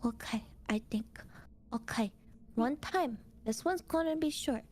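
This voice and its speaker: A woman's voice: a little high, unhurried, darkish tone. A woman chatting casually as a streamer, telling stories in a cutesy, playful way with Japanese words sprinkled in. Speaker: VTuber streamer. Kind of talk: casual storytelling chat.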